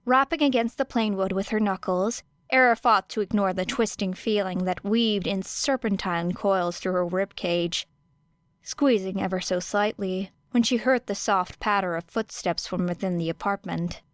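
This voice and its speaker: A woman narrating an audiobook of fan fiction. She reads in a measured, expressive way at a middle pitch. The recording is dry and close. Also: no echo. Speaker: podfic reader.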